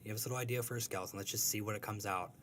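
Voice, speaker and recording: A man's voice: lowish voice, fairly even intonation, racing, a bit bright, slight background noise. A young adult man, a comic strip creator, talking casually in an interview and telling rambling, self-deprecating stories.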